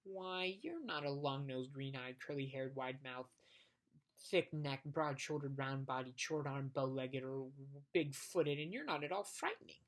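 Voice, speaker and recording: A woman's voice: extremely low pitch, animated. A woman reading the lines of an earnest young boy in a storybook. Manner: earnest and quick.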